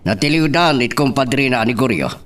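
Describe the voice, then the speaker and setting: A man's voice: medium pitch, expressive, fairly quick, a bit bright, lightly acted. A man with an Ilocano accent playing a scandal-sharing village gossip in a radio drama. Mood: gossipy. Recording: off-mic, distant pickup.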